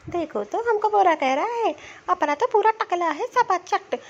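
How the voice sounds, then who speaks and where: A tiny, extremely high voice for a vain cartoon young woman acted by a woman. She is fussy and scornful, complaining in theatrical, exclamatory asides. The sound is somewhat dull and lo-fi. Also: lively intonation, unhurried, heavily acted. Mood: indignant, dismayed. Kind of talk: Hindi comedy cartoon dialogue.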